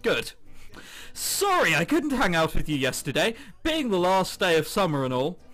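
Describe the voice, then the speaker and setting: A man doing let's play commentary, reading visual novel text aloud in an excitable, giggly way with exaggerated reactions. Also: high-pitched, normal speed. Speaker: video game commentator. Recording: background music under the voice. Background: game music.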